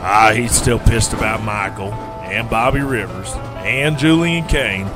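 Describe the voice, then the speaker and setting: A man's voice: fairly low pitch, a little slow, music in the background, natural voice. An actor playing a blunt, folksy veteran male wrestling crew boss in audio drama dialogue. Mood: blunt, advising.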